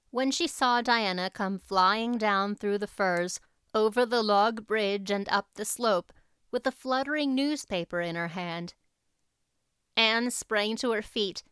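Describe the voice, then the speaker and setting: A woman reading a novel aloud as an audiobook narrator, in expressive scripted storybook style. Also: mid-range voice, clean tone, no room sound, studio quality.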